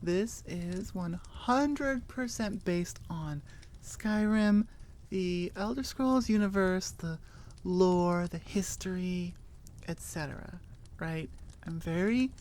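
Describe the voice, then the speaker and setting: A woman's voice: on the low side, slow, a little mellow. A woman chatting casually and informally as an audio creator, rambling with enthusiasm. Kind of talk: casual rambling outro chat.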